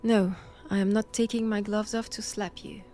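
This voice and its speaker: A woman with a French accent playing a stern, cold vampire-hunting nun in an intimate audio roleplay, measured and commanding. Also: medium pitch, flat delivery, dark tone, soft background music, close to an everyday voice. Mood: menacing.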